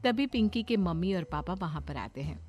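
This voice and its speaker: A woman's voice: lowish voice, animated, normal speed, darkish tone. A woman narrating a scripted story as its storyteller. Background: faint music.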